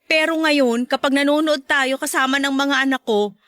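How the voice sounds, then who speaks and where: Playful Filipina mom and girlfriend, thirties, woman acting, high voice, dry studio sound, teasing radio drama dialogue. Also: steady tone, moderate pace, fairly bright tone, no echo. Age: 30s. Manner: teasing, conversational. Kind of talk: Tagalog audio drama dialogue.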